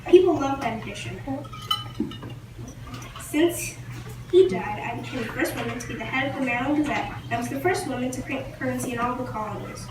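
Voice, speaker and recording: A woman's voice: high-pitched, a little fast, lightly acted. A woman playing a widowed colonial printer, reading a prepared script and tripping over words now and then. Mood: earnest. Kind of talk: first-person historical role-play. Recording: roomy, distant mic, noisy background.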